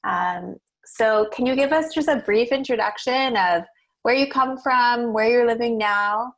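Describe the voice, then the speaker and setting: A woman's voice: on the high side, a little slow. A woman hosting a casual live event, interviewing in a friendly, welcoming manner. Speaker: host, interviewer.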